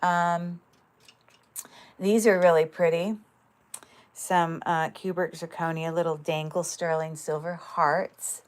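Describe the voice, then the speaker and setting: Woman, livestream seller, chatty, filler-heavy, lower voice, crisp clear sound. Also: flat delivery, takes it slowly, pauses often. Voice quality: describes own voice as loud. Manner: chatty, many fillers, thinks aloud while handling items. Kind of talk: casual livestream sales chat.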